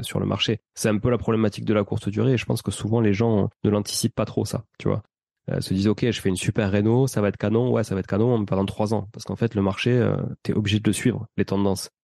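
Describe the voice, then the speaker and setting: Fast-talking man with a gravelly, mellow voice. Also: on the low side, no room sound, studio quality. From Portuguese family background. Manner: informal, explanatory, slangy. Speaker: podcast guest, property investor and entrepreneur. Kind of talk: casual conversational interview, explaining own experience.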